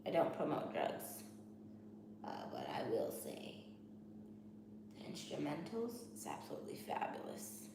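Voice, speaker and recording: A woman's voice: deep voice, huge pitch swings, unhurried, creaky, long pauses, in a whisper. A woman with a Haitian Creole accent, rambling candidly about her studies in a study vlog and often restarting her sentences. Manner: talks candidly, restarts and repeats herself. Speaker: student vlogger. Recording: very echoey room with noise.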